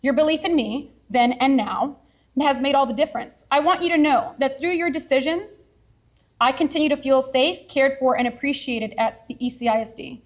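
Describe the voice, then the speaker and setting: High-voiced woman giving heartfelt speech. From Edinburg, Texas. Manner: earnest, heartfelt, measured. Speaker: science teacher accepting an award. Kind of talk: prepared acceptance speech. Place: award ceremony venue.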